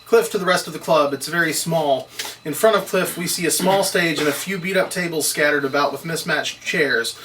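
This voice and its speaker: A man with a fairly high, very bright, forceful voice narrates stage directions from a script at a table read. He is recorded from a little distance, with faint noise in the room. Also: normal speed. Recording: distant microphone, noisy room.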